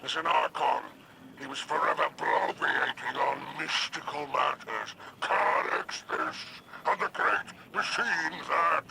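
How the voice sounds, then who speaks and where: An actor voicing a smug, shady alien crime boss in a video game, with a gravelly voice and an oily, theatrical salesman's drawl. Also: fairly high pitch, takes it slowly, heavy background noise, full character voice. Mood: sly, boastful. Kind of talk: video game character dialogue.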